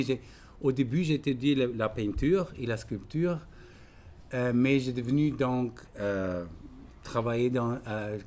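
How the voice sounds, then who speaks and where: A middle-aged man giving an artist's talk in a language that is not his first, halting and searching for words with many fillers. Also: medium pitch, mellow, slight background noise. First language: not French. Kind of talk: lecture talk.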